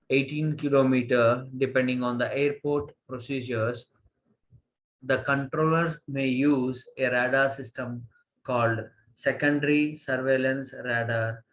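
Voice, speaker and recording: A man with an Indian accent giving a college lecture, halting as he goes and repeating phrases for emphasis. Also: medium pitch, fairly bright tone, rough recording. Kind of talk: slide lecture, reading and explaining.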